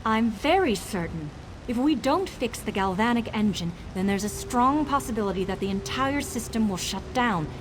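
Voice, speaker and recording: A woman voicing a flustered but self-assured repair technician in a video game, brisk, defensive and insistent. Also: a little high, animated, noisy background, natural voice. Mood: insistent, pleading. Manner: defensive, brisk, covering doubt with confidence. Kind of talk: video game character dialogue.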